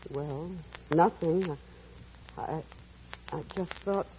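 A woman's voice: deep voice, takes it slowly. A woman playing a poised, tender and anxious businesswoman in an old-time radio drama, with emotive, theatrical delivery. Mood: hesitant, tender. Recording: muffled, noisy vintage radio recording.

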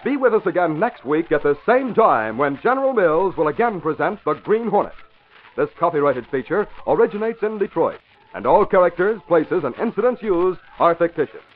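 American guy, old radio announcer, punchy sales delivery, highish voice, muffled broadcast sound. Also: sing-song, normal speed, faint music behind. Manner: polished, emphatic salesmanship. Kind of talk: 1950s radio announcing, scripted narration and advertising. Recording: dull vintage radio broadcast.

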